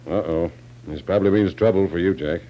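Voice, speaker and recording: A man acting a gruff, skeptical sheriff in a 1940s radio drama, with a blunt, brusque manner. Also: deep voice, brisk, lightly acted. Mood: puzzled, brusque. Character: gruff, skeptical county sheriff questioning a case. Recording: dull 1940s radio recording.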